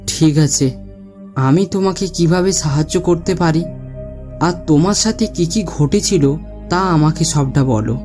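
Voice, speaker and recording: A man playing an earnest, brave man who speaks gently and solemnly in a cartoon horror story. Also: fairly high pitch, a little slow, close to an everyday voice. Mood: reassuring, earnest. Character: earnest, brave man promising a ghost justice. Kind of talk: dramatic audio story dialogue. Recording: long reverb, music behind.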